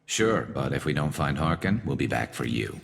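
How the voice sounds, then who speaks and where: A man playing a grim, vengeful alien ex-cop turned vigilante in a video game, with a gravelly voice and terse, menacing delivery. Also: a bass voice, very animated, moderate pace, exaggerated voice. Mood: determined. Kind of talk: video game character acting.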